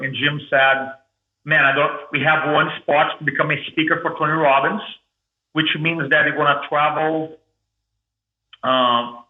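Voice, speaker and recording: Over a phone-like line in a roomy space, a man speaks with a thick Brazilian Portuguese accent, his voice pitched a little high. He is an interview guest telling his story with energy and often restarts his words. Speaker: guest, public speaking coach.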